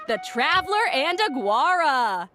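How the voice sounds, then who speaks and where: A woman's voice: high-pitched, sing-song, unhurried, music in the background. A woman voicing a cheerful, polished tournament announcer in a video game, courteous and showy as she presents. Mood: cheerful, presenting. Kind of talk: anime game voice acting.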